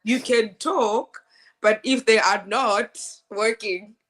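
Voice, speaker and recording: A woman with a deep speaking voice, an opera singer telling her story in a lively, laughing, stop-start way. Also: slow pace. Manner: animated, laughing storyteller with frequent restarts. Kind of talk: casual interview chat.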